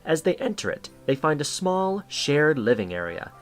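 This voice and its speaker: Lively male narrator with varied intonation. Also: fairly high pitch, normal speed, faint music behind. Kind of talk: scripted story narration.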